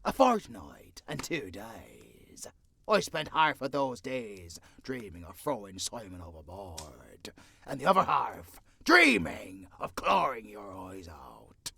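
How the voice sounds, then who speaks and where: An actor playing a blustering English gentleman pirate with a rough, gravelly voice, in theatrical audio roleplay style. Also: lowish voice, wildly swooping pitch, takes it slowly, exaggerated voice. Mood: vengeful. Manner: theatrical, swaggering, archaic pirate talk. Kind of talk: cinematic audio roleplay acting.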